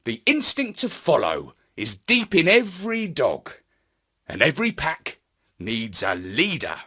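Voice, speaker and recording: A man narrating a children's story in a theatrical, deliberate, expressive manner. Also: a little high, slightly rough. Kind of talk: scripted children's story narration in first person.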